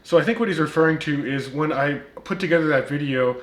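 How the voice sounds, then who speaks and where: A man's voice: fairly high pitch, fast, echoey room. Man with a slight Turkish accent. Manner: many ums and uhs, wry comebacks. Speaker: watch reviewer, channel host. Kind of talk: casual vlog commentary.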